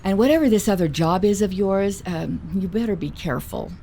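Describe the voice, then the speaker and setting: A woman acting as a playful, flirty newsstand owner in a radio drama, teasing and expressive. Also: medium pitch, a little fast, slight background noise, natural voice. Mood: earnest, caring.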